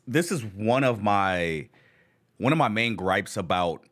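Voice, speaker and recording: A man's voice: deep voice, a bit bright. A man hosting a live reaction stream, talking casually with enthusiastic slang. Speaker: channel host reacting to video.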